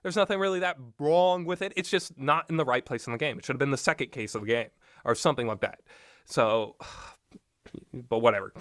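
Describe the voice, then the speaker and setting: A man's voice: mid-range voice, brisk, a bit bright, no echo, studio quality. A man giving rambling, informal and opinionated commentary on games, speaking off the cuff with emphatic repetition. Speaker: commentator reviewing visual novel games.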